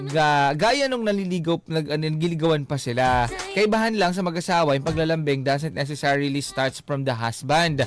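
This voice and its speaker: Lively, high-voiced male radio host. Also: fairly quick. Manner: teasing, conversational. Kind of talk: casual advice-show talk. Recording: faint music behind.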